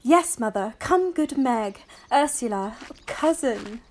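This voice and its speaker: Slow, gentle young bride. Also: female voice, fairly high pitch, lively intonation. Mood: dutiful. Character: gentle young bride, dutiful wronged daughter. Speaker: actor in an audio Shakespeare play. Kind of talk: Shakespearean radio play acting.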